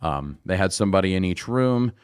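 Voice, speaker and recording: A man's voice: low voice, animated, a little fast, clean tone, dry recording, studio quality. A man telling stories casually as an interview guest, in a laid-back, conversational way. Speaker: interview guest, singer and musician.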